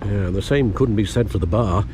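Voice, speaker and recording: A man's voice: on the low side, normal speed, noisy background, close to an everyday voice. An actor playing a wry, formal older butler with fatherly concern in a video game. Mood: dry, reproachful.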